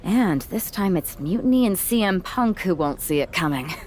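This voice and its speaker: Actress as a wry, lively-toned woman wrestler. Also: on the low side, moderate pace, a little hiss or hum, close to an everyday voice. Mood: thoughtful. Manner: wry, casual, sardonic. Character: wry, guarded young woman wrestler leading a team. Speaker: player's wrestler character in a video game. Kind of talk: scripted video game cutscene dialogue.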